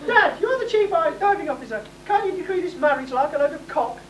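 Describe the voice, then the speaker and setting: Woman playing a very high-pitched haughty princess. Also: animated, fast, some chatter behind. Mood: sulky. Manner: imperious, exclaiming. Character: haughty, stubborn young pantomime princess. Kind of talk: amateur pantomime stage acting. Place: hall stage, distant microphone. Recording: echoey distant hall, faint noise.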